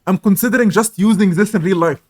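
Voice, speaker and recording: Chatty man with a loud voice. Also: high voice, fast talker. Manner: loud, rambling, laughs along. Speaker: podcast co-host. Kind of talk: casual chat.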